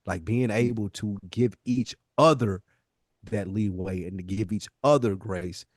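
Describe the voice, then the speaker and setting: This is a male podcast host with a slightly deep voice in a very dry recording. He talks casually and earnestly, leaning on his points with slangy emphasis. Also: fairly even intonation, unhurried, no echo.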